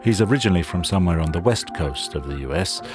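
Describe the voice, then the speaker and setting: A man hosting a sound documentary, narrating a scripted introduction in a measured, wry storytelling manner. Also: low voice, lively intonation. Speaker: show host and narrator. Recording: narration over loud music.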